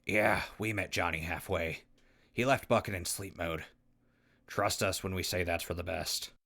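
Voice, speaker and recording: A man's voice: low voice, fairly even intonation, moderate pace, vocal fry, bright, forceful tone, heavily acted. A man playing a brusque, wry ghoul wastelander in an audio drama, talking in an offhand way.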